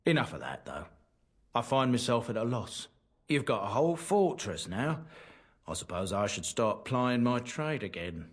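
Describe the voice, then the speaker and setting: An actor playing a humble, earnest merchant in a fantasy video game, speaking in a plain, folksy and rueful way. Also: male voice, medium pitch, very animated, moderate pace, breathy edge. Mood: practical, friendly.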